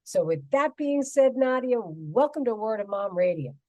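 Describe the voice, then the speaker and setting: A woman's voice: fairly high pitch, lively intonation, normal speed, a little mellow, dry recording, dull audio. A woman in her fifties hosts a radio talk show with emphatic enthusiasm. Her voice is recorded close and dry, with no room sound around it. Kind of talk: radio interview hosting.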